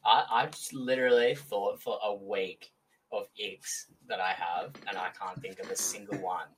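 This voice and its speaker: A man's voice: on the low side, fairly even intonation, moderate pace, slight background noise. A young man in his twenties on a podcast, making short, offhand remarks. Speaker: podcast guest, a host's boyfriend. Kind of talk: casual chat. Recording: distant from the mic.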